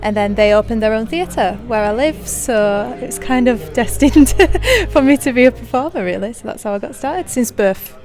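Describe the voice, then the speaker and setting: A woman's voice: on the high side, moderate pace, hardly any pauses, a little mellow, faint music behind. A female pantomime actor answering interview questions in a bubbly, giggly way.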